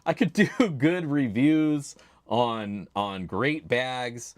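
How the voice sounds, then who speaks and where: A man hosting a casual livestream, chatty and laid-back, with a loud voice, talking into a desk microphone at his home streaming setup. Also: mid-range voice, very slow, no room sound. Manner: chatty, laid-back, reads chat names aloud. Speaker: livestream host.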